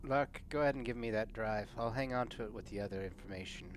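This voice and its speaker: A man playing a stern, proud corporate scientist in a tabletop game, speaking formally and deliberately over background noise. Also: on the low side, lightly acted. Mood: cold, commanding. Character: stern, proud male corporate biochemical engineer.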